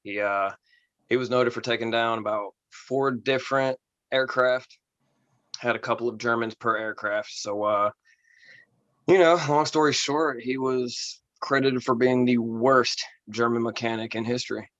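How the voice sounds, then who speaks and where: A man from Florida doing a stand-up set with a calm, smooth, self-deprecating delivery over a video call. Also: mid-range voice, a little slow, no echo, highs cut off. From Florida, USA. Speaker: stand-up comedy contestant. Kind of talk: stand-up set, then taking feedback.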